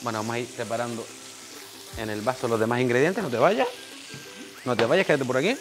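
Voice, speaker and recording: Canarian chef teaching a cooking class. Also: male voice, mid-range voice, brisk, over music. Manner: practical, directs an assistant at his side. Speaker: chef giving a cooking demonstration. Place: small bright teaching kitchen, indoors.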